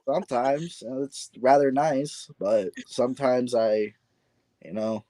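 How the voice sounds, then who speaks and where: Male podcast guest, casual and rambling, mid-pitched, dry close sound. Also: slow pace, mellow, no echo. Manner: laid-back, rambling with repeated restarts. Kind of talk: casual chat.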